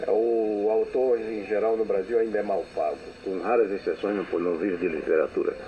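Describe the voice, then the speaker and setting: A man's voice: fairly low pitch, steady tone, brisk, a little hiss or hum. A man interviewing a guest for a radio station, speaking in a courteous and formal way. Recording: narrow, phone-like old radio archive.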